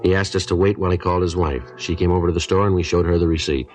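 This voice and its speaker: A male actor plays a terse American police detective in a 1950s radio drama. His low voice moves quickly through the facts, deadpan and businesslike, on an old recording with faint music behind it. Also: recorded from a distance, lightly acted. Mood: flat, factual. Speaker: detective narrator and lead.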